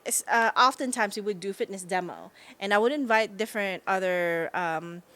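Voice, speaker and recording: A woman's voice: medium pitch, fairly bright tone. A young woman from the Philippines, a marketing director, chatting as an interview guest in a bubbly way and laughing often. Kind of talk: casual interview chat.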